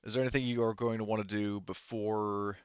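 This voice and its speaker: Guy game master, clear low voice, kinda halting, thinking out loud, slightly dull mic. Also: level tone, dry recording. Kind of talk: running a tabletop roleplaying game, casual talk.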